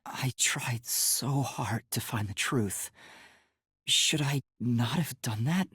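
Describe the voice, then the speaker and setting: A man voicing an earnest, timid young male detective in a video game, soft-spoken and hesitant, with a breathy, youthful voice. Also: medium pitch, slow pace, no room sound, clean studio sound, heavily acted. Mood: pensive. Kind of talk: English video game voice acting.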